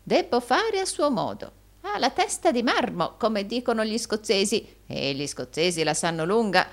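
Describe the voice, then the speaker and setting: A woman voicing a sly old male moneylender in an audiobook, gushing flattery in repeated exclamations. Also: fairly high pitch, moderate pace, voice pushed up. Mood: wheedling. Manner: gushing, repetitive, exclaiming with groans and chuckles.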